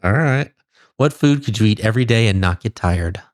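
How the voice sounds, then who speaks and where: A man hosting a casual interview, asking quick questions in an upbeat, easygoing way. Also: on the low side, lively intonation, dry recording, studio quality. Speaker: podcast host.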